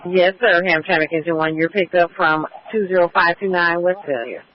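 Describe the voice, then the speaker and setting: High-voiced male fire dispatcher on radio. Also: normal speed, a little hiss or hum. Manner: repeats back each unit's message in formal radio phrasing. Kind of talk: clipped radio dispatch procedure. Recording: muffled radio transmission.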